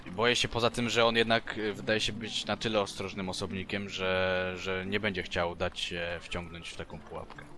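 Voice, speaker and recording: A man's voice: deep voice, little pitch movement, moderate pace, hardly any pauses. A man improvising in character as a player in a tabletop role-playing game.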